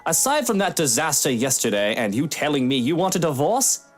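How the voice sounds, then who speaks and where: An actor playing an aloof, sulky husband in a visual novel, with a sardonic delivery. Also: male voice, on the high side, very animated, fairly quick. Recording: music behind the voice.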